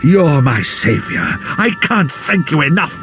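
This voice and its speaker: An actor playing a pompous, fawning, fussy military officer in a video game, with florid delivery. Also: male voice, a little high, sing-song, slow pace. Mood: gushing, self-satisfied. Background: loud music.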